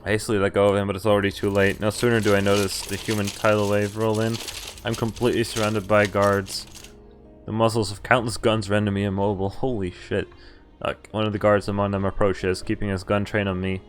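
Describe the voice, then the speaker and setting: A man's voice: fairly low pitch, flat delivery, soft background music. A man streaming a game, reading visual novel text aloud and adding casual commentary. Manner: halting asides, casual swearing.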